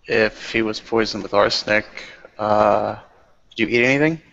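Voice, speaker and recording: A man's voice: on the low side, fairly even intonation, normal speed, gravelly, fairly bright tone. A man in a tabletop roleplay, asking short, probing questions. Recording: dull lo-fi recording.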